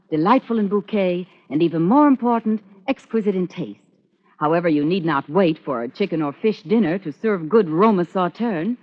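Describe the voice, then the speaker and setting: A woman reading a 1940s radio advertisement in a refined, deliberate manner. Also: mid-range voice. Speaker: commercial announcer. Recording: dull vintage radio recording.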